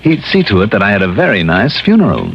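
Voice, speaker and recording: An actor playing a tough, wry American insurance investigator in 1950s radio drama style, with a clipped, dry, probing delivery. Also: male voice, on the low side, wildly swooping pitch, fast talker. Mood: serious, probing. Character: tough, wry male insurance investigator, sharp and self-assured. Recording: muffled, hissy old radio recording.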